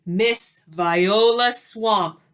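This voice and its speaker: A woman's voice: medium pitch, very slow, a bit bright, smooth. Woman acting a stern, snappish witchy schoolteacher. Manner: curt and bossy. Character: stern, snappish witch-like female substitute teacher.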